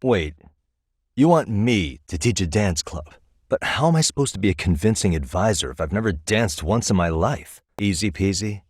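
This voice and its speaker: A man's voice: sits low, expressive, moderate pace, breathy edge, no echo. A male actor with an American accent playing a wry, easygoing private detective in a video game, talking in a dry, casual, persuasive way. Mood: incredulous. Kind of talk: scripted game dialogue, conversational.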